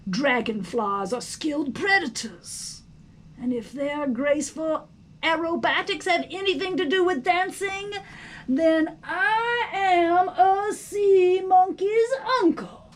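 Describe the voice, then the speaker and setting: High-voiced woman reading a story expressively. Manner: theatrical, emphatic, deliberate phrasing. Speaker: storybook reader. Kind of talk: expressive read-aloud narration. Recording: faint background noise.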